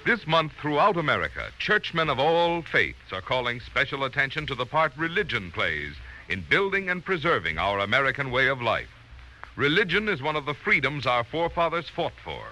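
A man's voice: medium pitch, sharp tone, slight background noise, low-quality recording. A man announcing on 1940s radio in an emphatic, polished, scripted style, recorded from a vintage broadcast. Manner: emphatic, polished sales delivery.

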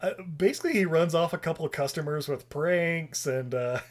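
A man's voice: fairly high pitch, brisk, a little hiss or hum. A man in his thirties casually recapping a movie with friends, laughing often and rambling. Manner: laughing, rambling recap with silly quoted voices. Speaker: podcast host recapping a movie. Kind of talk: casual chat.

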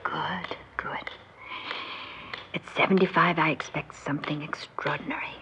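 An actress playing a wistful, lonely mother in her seventies in an old radio drama, speaking in a slow, breathy murmur. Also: sits low, fairly even intonation, fairly bright tone, whispered, heavily acted. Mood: expectant. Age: 70s. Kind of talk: 1960s radio drama acting. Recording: dull, noisy old radio recording.

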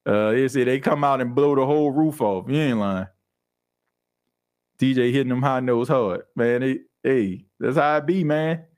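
A young man chats loosely as a podcast host, reacting to comments. He laughs often and breaks into lively impressions of churchgoers. His voice sits in an ordinary middle pitch. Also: moderate pace. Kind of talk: casual chat reading live comments.

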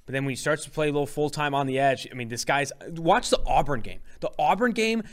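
A man's voice: on the high side, animated, fast talker. A young man co-hosting a sports podcast, giving chatty and enthusiastic opinions on football prospects. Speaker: podcast co-host. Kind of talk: casual sports analysis chat.

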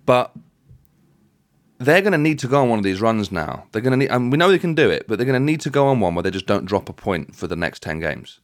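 A man's voice: mid-range voice, sing-song, fast, no echo, clean studio sound. A man hosting a casual football discussion, making emphatic points and repeating phrases to build them up. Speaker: football podcast host.

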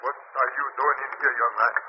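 A man's voice: medium pitch, flat delivery, brisk, noisy background, close to an everyday voice. An actor playing a nervous, evasive man in a 1940s radio drama, stammering and pleading. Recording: lo-fi old radio broadcast.

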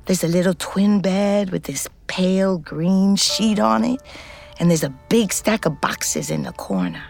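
An actress playing a weary, foul-mouthed middle-aged ex-convict, telling her story in a halting, blunt, confessional way. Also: medium pitch, sing-song, darkish tone, faint music behind. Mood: stoned, reflective. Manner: halting, blunt, conversational, profane. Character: weary, foul-mouthed, wary woman ex-convict, a rootless New Yorker. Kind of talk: confessional fiction podcast narration and dialogue.

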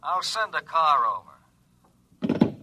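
An actor playing a drawling, unimpressed police captain on the telephone in an old radio drama. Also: male voice, fairly high pitch, very animated, drawn-out words, pushed and bright, full character voice, low-quality recording. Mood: bored, skeptical.

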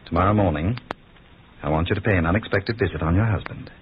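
Very deep-voiced scheming lawyer on tinny old radio, played by a man. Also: fast, close to an everyday voice. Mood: scheming, persuasive. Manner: insinuating, persuasive. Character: scheming, smooth-talking lawyer plotting against his brother. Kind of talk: 1940s radio acting. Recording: muffled, tinny, noisy old radio recording.

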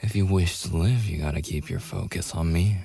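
A man playing a taunting, possessive werewolf in an ASMR roleplay, with a gravelly, husky, breathy voice and a teasing, menacing manner. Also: low-pitched, brisk, dark tone, dry recording, studio quality, exaggerated voice. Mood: gruff, teasing. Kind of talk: boyfriend ASMR audio roleplay acting.

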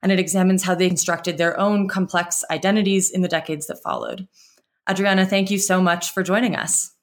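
A woman hosting a podcast interview, giving measured introductions and questions. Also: fairly low pitch, steady tone. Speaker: podcast host.

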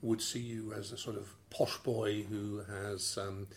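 Probing male interviewer, lowish voice. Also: flat delivery, unhurried, slight background noise. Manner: formal, probing questions. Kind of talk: interview questions.

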